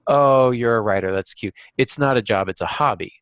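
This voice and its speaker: A man co-hosting a writers' podcast, talking reflectively and casually, haltingly thinking aloud. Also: mid-range voice, a bit bright, clean tone, no echo, muffled recording.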